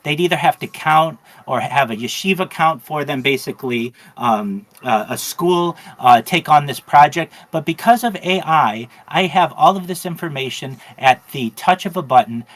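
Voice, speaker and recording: A man who is a rabbi and a native English speaker, giving an earnest interview answer in a measured way with frequent uh and um fillers. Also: on the high side, lively intonation, fairly bright tone.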